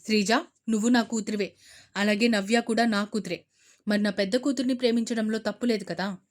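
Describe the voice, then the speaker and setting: A woman voicing an angry, stern middle-aged father who shouts and scolds in a Telugu audio story. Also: mid-range voice, steady tone, a little fast, lightly acted.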